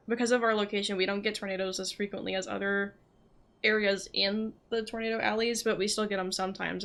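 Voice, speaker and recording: A young American woman chats casually on a game stream. Her voice is bright and forward at a medium pitch, and she rambles loosely from one thought to the next. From southeastern United States. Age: young adult. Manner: chatty, rambling, loosely jumping between thoughts. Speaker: game livestreamer.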